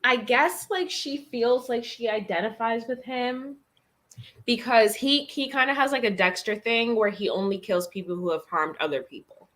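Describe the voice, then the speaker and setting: A woman's voice: fairly high pitch, normal speed, fairly bright tone. A woman in a casual chat about books, speaking informally with slang and some swearing. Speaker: guest on a book reading livestream.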